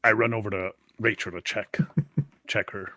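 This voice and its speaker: A man playing a tabletop game, giving quick actions and dialogue for his character. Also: lowish voice, steady tone, bright, forceful tone, no room sound. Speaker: tabletop roleplay player.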